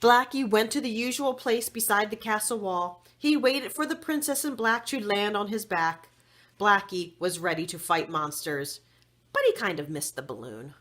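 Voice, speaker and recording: A woman reading a children's story aloud in an expressive, deliberate storytelling manner. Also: medium pitch. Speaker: story reader.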